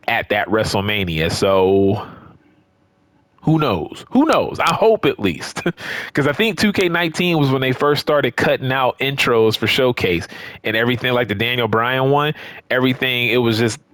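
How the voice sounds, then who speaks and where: A man's voice: medium pitch, a bit bright, no echo. Casual, rambling man chatting to viewers. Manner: conversational, rambling, repeats phrases for emphasis. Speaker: YouTube host of a wrestling game and action figure channel. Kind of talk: casual unscripted chat to viewers.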